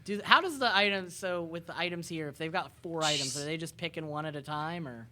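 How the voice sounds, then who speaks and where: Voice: mid-range voice, a little fast, sharp tone. A game master chatting casually while running a tabletop game, with some noise in the background.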